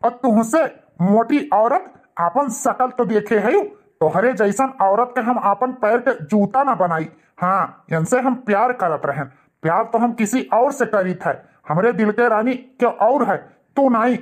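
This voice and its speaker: A man's voice: sits high, lively intonation, measured pace. Hot-tempered cartoon husband and devoted son, Awadhi accent, played by a male actor. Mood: angry, contemptuous. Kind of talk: cartoon dialogue.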